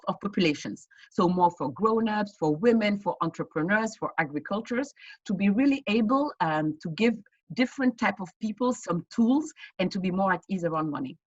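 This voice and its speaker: A woman's voice: medium pitch, husky, dry recording, highs cut off. A woman from West Africa, a fintech founder, answering interview questions earnestly with frequent fillers and restarts over a video call. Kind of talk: online panel interview answers.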